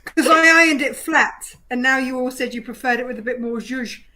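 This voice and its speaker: A cheerful woman talks through a sewing demonstration with a fairly high voice. She explains each step quickly and chuckles along the way. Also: bright, forceful tone. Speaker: sewing pattern designer, guest. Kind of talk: casual demonstration chat.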